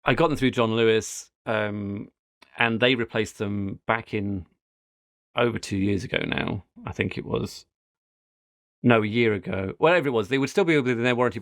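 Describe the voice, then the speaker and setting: British man chatting, gravelly voice, close dry sound. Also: on the low side, brisk, dry recording. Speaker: podcast host. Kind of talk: casual tech podcast chat.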